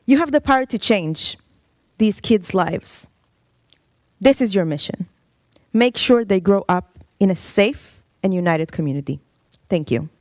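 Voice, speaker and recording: A woman from Israel speaks with urgent, pointed questions in an impassioned public comment. Her voice sits at an ordinary pitch, and the podium microphone gives a close, dry sound. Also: lively intonation, a little slow, pauses often, a little mellow, dry recording. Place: council chamber.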